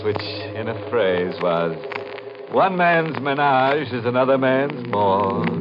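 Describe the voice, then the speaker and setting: An actor playing a sardonic, mock-friendly horror host who narrates and puns in old-time radio style. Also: male voice, on the low side. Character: ghoulish, gleefully punning male horror host. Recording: muffled old radio recording. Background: music.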